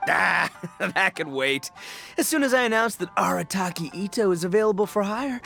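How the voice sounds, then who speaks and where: An actor playing a boisterous, good-natured young gang boss in a video game, talking in excitable bro slang with stammering bluster. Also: male voice, high voice, normal speed, creaky, soft background music, exaggerated voice. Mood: boastful. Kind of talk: animated video game voice acting.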